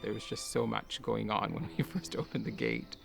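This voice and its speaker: A man who grew up in Kuwait, a garden owner and artist, talking in a soft-spoken, musing way with light laughs. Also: fairly low pitch, very animated, moderate pace, creaky, faint music behind. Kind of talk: reflective personal talk for a garden video.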